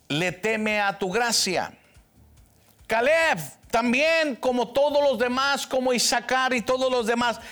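A man, preaching a fervent televised sermon that swings from soft to loud and emphatic. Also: high-pitched. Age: 60s. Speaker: pastor.

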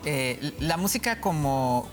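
Man with a Mexican-tinged accent, halting. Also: on the high side, expressive, faint music behind. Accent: Mexican-tinged Spanish. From Guatemala. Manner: hesitant, many restarts and fillers. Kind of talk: conversational interview answers.

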